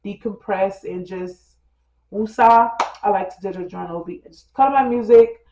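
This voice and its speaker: A woman's voice: mid-range voice, normal speed, background noise. Congested woman vlogging casually, lots of likes and literallys, echoey room. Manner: chatty, full of "like" and "literally"; addresses viewers as "girl" and "you guys". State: slightly congested with a cold. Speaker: lifestyle vlogger. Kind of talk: casual tutorial chat. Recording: dull roomy recording with soft music.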